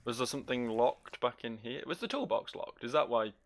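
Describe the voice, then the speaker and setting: A man's voice: mid-range voice, animated, fairly quick, vocal fry, a bit bright. A man giving casual, joking let's play commentary, rambling and teasing as he talks to the game's character. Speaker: gaming video host.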